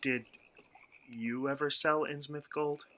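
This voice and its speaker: An actor playing an earnest, guarded young outsider in a radio play, asking questions in a measured, hesitant way. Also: male voice, fairly high pitch, steady tone, bright, forceful tone, slight background noise. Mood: earnest, probing. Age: young adult.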